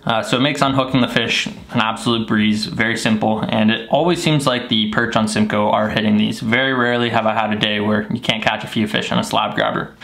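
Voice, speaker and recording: Young man with a gravelly voice. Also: lowish voice, fairly quick, few pauses. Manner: relaxed, explanatory, lots of "uh" and "like". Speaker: fishing channel host. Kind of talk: casual tutorial vlog. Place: small bedroom, indoors.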